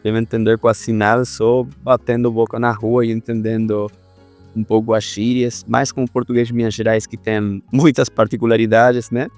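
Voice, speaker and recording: A man in his thirties from Argentina, a podcast guest speaking Portuguese with an Argentine Spanish accent, telling his story in a relaxed, rambling way. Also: medium pitch, normal speed, soft background music. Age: 30s. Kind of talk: casual interview talk.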